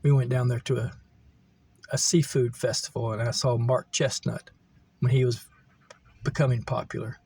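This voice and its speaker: A middle-aged American man reminiscing casually, in a halting, meandering way as he thinks aloud. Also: medium pitch, steady tone, gravelly, mellow, husky. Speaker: vlogger telling personal stories. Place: camper van.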